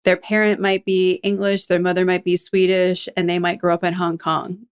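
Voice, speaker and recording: Woman podcast host, slightly low pitch, smooth tone, conversational. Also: moderate pace. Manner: chatty and animated, with "like" and laughter. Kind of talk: conversational interview.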